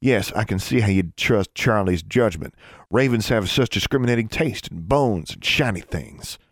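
Man playing a weary, deep-voiced sardonic brother. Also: animated, normal speed, no room sound. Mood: exasperated, sarcastic. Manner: mild, dry, sardonic. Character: weary, exasperated older brother, dryly sarcastic young wizard.

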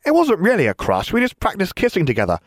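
A man's voice: sits high, wildly swooping pitch, fast, no room sound, studio quality, voice pushed up, full character voice. A man doing a giggly airheaded teen girl. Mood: breezy.

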